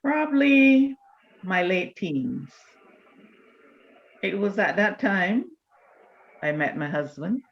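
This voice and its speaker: An older woman, reminiscing in a measured, deliberate way as an interview guest. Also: mid-range voice, sing-song, dull audio. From Barbados. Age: older, around 70. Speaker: church elder, interview guest.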